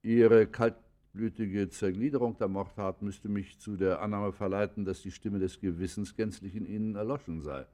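An actor playing a stern, moralizing magistrate who questions a criminal in a grave, formal, admonishing manner for a radio play. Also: male voice, on the low side, steady tone, moderate pace, dark tone, natural voice. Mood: accusing, solemn. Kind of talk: German radio play acting.

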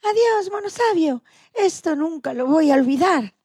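A woman's voice: very high-pitched, animated, moderate pace, darkish tone, no echo, studio quality. A woman voicing a young male elephant calf, friendly, eager and affectionate, in a children's story dramatization. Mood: tender farewell.

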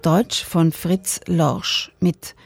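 A woman radio announcer reading scripted closing credits in a measured delivery. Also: on the low side, steady tone, gravelly, no room sound, soft background music.

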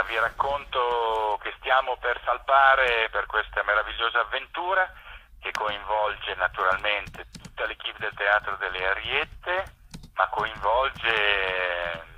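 Husky, lowish man on a dull phone line. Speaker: theatre maker, interview guest. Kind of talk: radio interview by phone. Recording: muffled telephone line.

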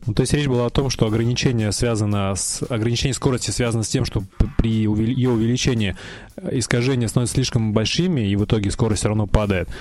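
A man's voice: lowish voice, fairly even intonation, fast, a little mellow, a little hiss or hum. A man in a casual technical discussion, explaining things and often correcting himself as he goes.

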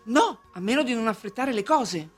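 An anxious merchant of a radio play, acted by a woman, speaks in a high voice with faint music behind. The delivery is confiding and insistent, with the submissive fretting of an obsessed client. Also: lively intonation, moderate pace, slightly rough. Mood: fretful, obsessive. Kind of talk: stage acting in a radio drama.

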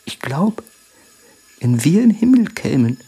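An actor in a radio drama playing a humble, downtrodden poor soldier, submissive and halting in his plain speech. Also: on the high side, big pitch swings, gravelly, warm tone, husky, full character voice. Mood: humble, bitter. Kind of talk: theatrical radio drama acting.

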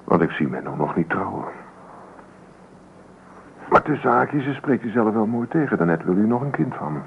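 An actor playing a stubborn, sardonic young husband in a naturalistic radio drama, gruff and dismissive. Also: male voice, on the low side, very animated, moderate pace. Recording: old radio play, phone-like muffled sound, noisy.

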